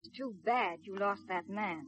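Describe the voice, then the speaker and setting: A woman's voice: medium pitch, a little slow, background noise, natural voice. An actress playing an earnest, stubborn young woman caught up in a crime case, in 1950s radio drama style. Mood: subdued, reproachful. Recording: muffled vintage radio recording.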